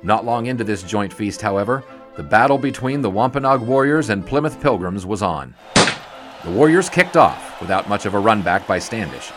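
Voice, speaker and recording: A man acts a wry sportswriter recalling the 1920s in an audio drama. His voice is fairly low and his storytelling measured, over prominent music. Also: expressive, natural voice. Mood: grand storytelling. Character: wry older sportswriter narrating memories of the 1920s. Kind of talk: scripted audio drama narration.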